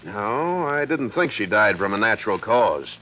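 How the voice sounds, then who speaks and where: Folksy insurance boss, bright forceful voice. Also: male voice, lowish voice, big pitch swings, a little slow, heavily acted. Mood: grave, businesslike. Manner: hesitant, chatty, folksy. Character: folksy, excitable male insurance office manager, chummy boaster. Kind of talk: 1950s radio drama acting. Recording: dull, faintly noisy vintage radio recording.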